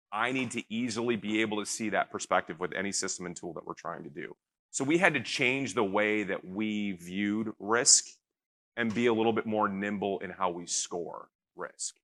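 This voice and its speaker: A man on a conference panel answering a question in an earnest way, talking in quick bursts and checking in with "right?". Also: lowish voice, pushed and bright. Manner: earnest, fast bursts, repeats points with "right?". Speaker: panelist from a risk and audit team. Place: conference stage.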